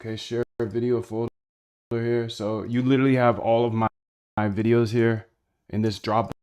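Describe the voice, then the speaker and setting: A man's voice: mid-range voice, little pitch movement, moderate pace, darkish tone, clear, resonant tone. A man streaming as he works on his screen, rambling and slangy, talking to chat as he goes. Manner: rambling, slangy, talks to chat while working. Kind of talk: casual screen-share chat.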